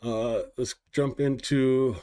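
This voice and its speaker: Man, news stream host, slow deliberate reading, mid-pitched voice, stumbles over place names. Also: steady tone, dark tone, clean tone. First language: English. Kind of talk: reading war news aloud and commenting.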